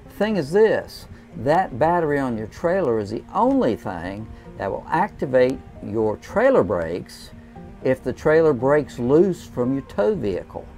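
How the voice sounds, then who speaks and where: Gravelly older man giving camping tips. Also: mid-range voice, very animated, darkish tone, soft background music. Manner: plain, folksy, unhurried with frequent "uh" fillers. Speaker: RV travel vlogger. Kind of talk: casual how-to talk to camera. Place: inside a camping trailer, small room. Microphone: clip-on lapel mic.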